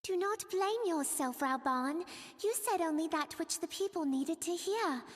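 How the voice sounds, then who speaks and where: Very high-pitched, regal young sovereign. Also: female voice, lively intonation. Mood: consoling, earnest. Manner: formal, composed, measured. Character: gentle, regal young female sovereign, earnest and resolute. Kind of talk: video game fantasy voice acting. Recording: long hall reverb.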